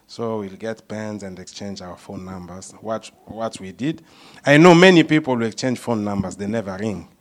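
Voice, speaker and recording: A man's voice: fairly low pitch, moderate pace. A man leading part of a church service, speaking informally with frequent "you know" and restarts as he introduces a speaker.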